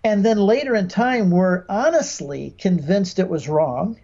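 A man's voice: high-pitched, a little slow, warm tone, highs cut off. An American man in his sixties from Michigan, explaining as a podcast guest with stories and examples, emphatically acting out quoted speech. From Michigan, United States. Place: home room with bookshelves, video call.

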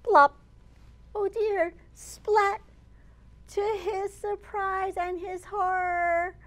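A woman in her thirties, a children's librarian hosting storytime, reading aloud expressively and deliberately with dramatic emphasis for young children. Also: very high voice, flat delivery. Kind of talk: animated read-aloud of a picture book for young children. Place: open library children's area, indoors.

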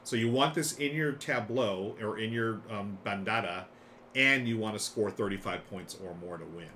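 Low-voiced middle-aged man, chatty game host. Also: moderate pace. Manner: enthusiastic, chatty. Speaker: host of a board game live stream. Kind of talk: casual live-stream chat and game demonstration. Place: small room lined with board games. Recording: clear steady background noise.

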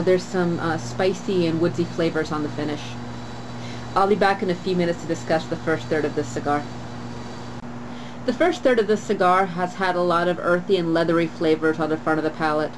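A woman in her thirties reviewing a cigar to camera on a porch, in a calm, measured way with frequent pauses. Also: fairly low pitch, background noise. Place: screened porch outdoors, trees behind.

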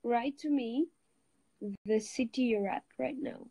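A young woman chats with her viewers on a livestream, holding her phone at arm's length as she lies on a bed. Her voice is husky and mellow, with a lot of vocal fry. The sound is close and dry, with no room echo. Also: a little high, unhurried. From Switzerland. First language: Italian. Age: young adult. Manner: greets viewers, switches languages, relaxed and chatty. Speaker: livestream host. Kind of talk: casual livestream chat with viewers. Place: lying on a bed indoors. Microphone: phone held at arm's length.

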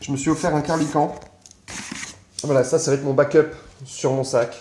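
A man's voice: fairly high pitch, level tone, normal speed, a little mellow, slight background noise. A man in his forties, vlogging on a phone camera in a small indoor room, chatty and hesitant with frequent fillers. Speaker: survival gear vlogger. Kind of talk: casual show-and-tell vlog. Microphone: phone camera at arm's length. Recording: echoey room sound.

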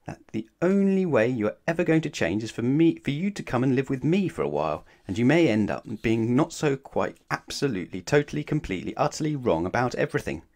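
Casting: male reader as cheerful, helpful storybook gentleman, brisk and emphatic, highly animated pitch. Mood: helpful, decisive. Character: Mister Right, confident helpful cheerful male storybook character. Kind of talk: storybook read-aloud.

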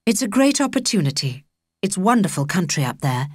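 A woman's voice: on the low side, sing-song, fairly quick, darkish tone, slightly rough, no echo, studio quality. A woman with a British accent voicing a nervous, strict middle-aged vicar father in a storybook. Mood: uneasy, apologetic.

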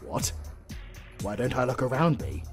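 A man's voice: mid-range voice, sing-song, over music. A British man playing an arrogant, flirtatious supervillain in a romantic comedy audio roleplay, theatrical and easily exasperated. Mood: exasperated, outraged. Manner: theatrical, swinging from slow menace to exasperated outbursts. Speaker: voice actor.

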